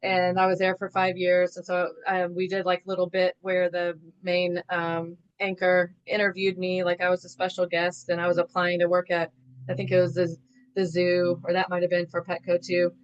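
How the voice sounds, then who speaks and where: A woman's voice: lowish voice, monotone, normal speed, clear, resonant tone, muffled recording. A woman from the American Midwest, a podcast guest, chatting about her background with many fillers. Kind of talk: casual interview storytelling.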